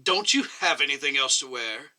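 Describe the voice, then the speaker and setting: A man's voice: medium pitch, lively intonation, normal speed, bright, forceful tone. A man voicing a stern, sarcastic commission chairman who questions pointedly and overbearingly in a short story reading. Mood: stern, scornful.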